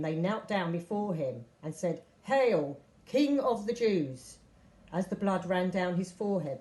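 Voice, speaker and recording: A woman reading a Bible story aloud as scripted narration, with expressive delivery of quoted speech. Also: on the low side, poor audio. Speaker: story reader.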